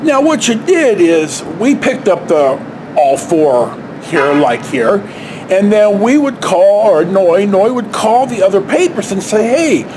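A middle-aged American man casually telling a story to camera in a halting, rambling way, recorded outdoors on a handheld device. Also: high voice, sing-song, noisy background. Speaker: storyteller, vlogger. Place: outdoors beside a stucco house wall. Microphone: handheld camera or phone at arm's length.

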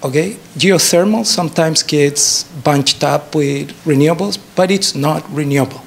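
Gravelly-voiced Chilean man lecturing. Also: a little high, moderate pace. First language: Spanish. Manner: animated explaining, short emphatic statements, rhetorical questions. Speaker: professor giving a talk. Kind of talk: answering an audience question at a public lecture. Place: university lecture theatre. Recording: noisy lecture hall recording.

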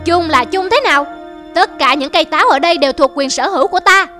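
A woman voicing a haughty male cartoon monkey over loud music. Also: very high voice, quick pace. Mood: boastful. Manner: haughty, bossy, threatening. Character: boastful greedy male monkey who claims the apple trees.

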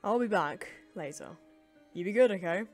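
A woman's voice: medium pitch, expressive, normal speed, faint music behind, close to an everyday voice. Woman as a kind, earnest teenage boy. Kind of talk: animated comic dub acting.

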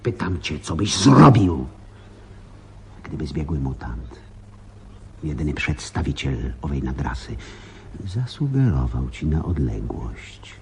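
Slow, menacing, mocking impostor. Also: male voice, very deep voice, sing-song, darkish tone, a little hiss or hum, full character voice. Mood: probing, sinister. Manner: taunting, deliberate, theatrical. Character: menacing, mocking mutant posing as a police deputy. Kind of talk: Polish radio play acting.

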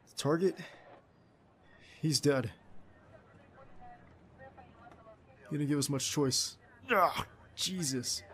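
A man performs a young male field agent in a boyfriend roleplay audio drama. His voice sits a little high for a man. He comes across as doting and playful, with a teasing, stubborn streak. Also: close to an everyday voice. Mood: pained, strained. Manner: affectionate, teasing, protesting.

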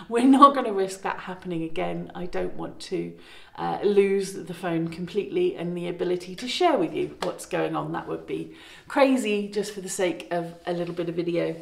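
A woman's voice: on the low side, normal speed, creaky, a bit bright. A middle-aged woman chatting casually to her phone camera inside an old farmhouse, rambling and correcting herself as she goes. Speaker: vlogger renovating a farmhouse. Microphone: phone camera at arm's length. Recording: roomy echo.

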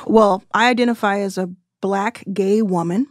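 Woman, 30s, podcast guest; measured and earnest, mid pitch, dry close-mic read. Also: steady tone, no room sound. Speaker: guest investor. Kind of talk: reflective podcast interview.

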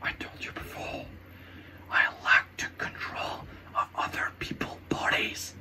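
Whispering, sadistic ghost. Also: male voice, a little slow. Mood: cruel, gloating. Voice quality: hushed, breathy whisper. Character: mocking sadistic male ghost without a body.

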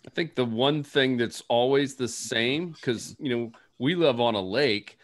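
A man's voice: mid-range voice, measured pace. A young man hosting a casual fishing panel over a video call, playful and joking as he moves between questions. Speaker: host.

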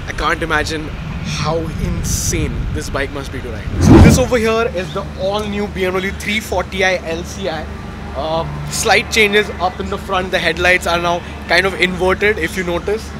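A man's voice: high-pitched, normal speed, very noisy. A young man, vlogging casually and enthusiastically at an outdoor car show, talking into the camera with filler "uh"s. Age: young adult. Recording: loud music behind the voice.

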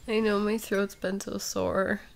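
A woman playing a sick, sleepy, sweet and whiny girlfriend in an intimate ASMR roleplay, breathy and often dropping into a whisper, with drowsy sighs. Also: mid-range voice, little pitch movement, slight background noise. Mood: weary, affectionate. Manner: drowsy, drawn-out, sighing, intimate.

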